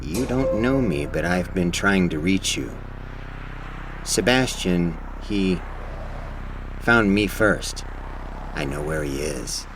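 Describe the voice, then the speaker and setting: A man playing a mysterious, cautious stranger in an audio drama, measured and guarded. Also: low voice, expressive, noisy background, heavily acted. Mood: guarded, urgent. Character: mysterious, cautious male stranger bearing a message.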